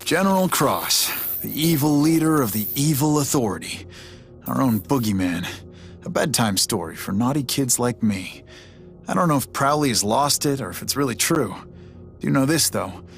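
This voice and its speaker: A man's voice: mid-range voice, expressive, normal speed, vocal fry. A man playing a reckless, irreverent young orphan ranger in a video game, with a wry, sardonic manner. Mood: wry narration. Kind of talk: video game voice acting with narration. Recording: loud game music over voice.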